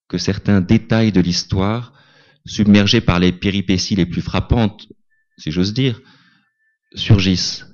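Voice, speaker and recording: Deep-voiced male reader, reflective and deliberate, dull event recording. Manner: calm, deliberate reflective reading. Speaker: reader at a literary tribute. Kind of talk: first-person literary reading. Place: small cinema salon.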